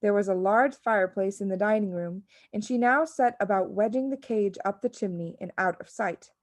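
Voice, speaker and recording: A woman reading a children's story as an expressive audiobook narrator. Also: mid-range voice, normal speed, dry recording. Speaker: audiobook reader.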